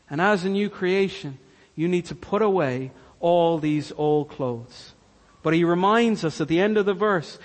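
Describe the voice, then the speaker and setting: A man's voice: sits high, in a large hall. A man from Cork with a Cork Irish accent, preaching a sermon earnestly and repeating key lines for emphasis. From Ireland, Cork. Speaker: pastor.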